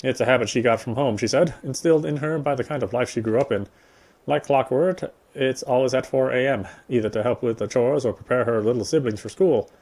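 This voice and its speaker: Male gameplay narrator, average pitch, measured read-aloud storytelling, visual novel text. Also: darkish tone. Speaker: gameplay streamer reading aloud.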